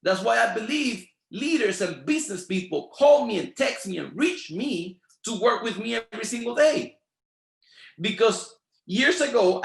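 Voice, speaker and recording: A man's voice: sits high, vocal fry, echoey room. A man whose first language is Spanish, speaking with a Spanish accent and giving a motivational training talk in an emphatic, repetitive, preacher-like rhythm. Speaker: network marketing trainer.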